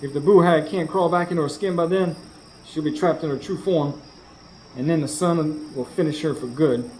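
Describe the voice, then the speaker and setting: Actor as urgent high-pitched folksy man, horror radio play. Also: moderate pace, a little mellow, lightly acted, low-quality recording. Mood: tense, determined. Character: anxious, determined Southern husband narrating a ghost hunt. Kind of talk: live radio drama acting and first-person narration. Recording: live stage recording, clear background noise.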